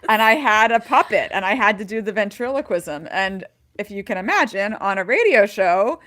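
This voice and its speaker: A woman's voice: animated, normal speed, a bit bright. Curious, chatty woman co-host. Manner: curious, conversational, self-described low voice. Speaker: podcast co-host. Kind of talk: casual interview chat.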